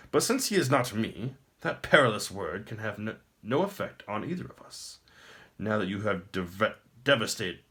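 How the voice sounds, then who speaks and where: A man voicing a gloating, smooth-talking wizard emperor in a storybook, theatrical, grandly formal and mockingly courteous. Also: lowish voice, a bit bright, pitched above the performer's own voice. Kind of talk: audiobook character acting.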